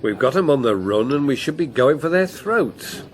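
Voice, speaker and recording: A man's voice: mid-range voice, lively intonation, normal speed, lightly acted. An actor playing a blunt, combative political party man in a radio drama.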